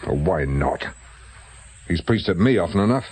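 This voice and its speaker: Radio drama sleuth: British, husky and expressive, probing, dry, stubborn and direct. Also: male voice, low-pitched, normal speed. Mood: musing, determined. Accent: British English. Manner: probing, blunt questioning. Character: shrewd amateur sleuth, male, dryly stubborn, blunt.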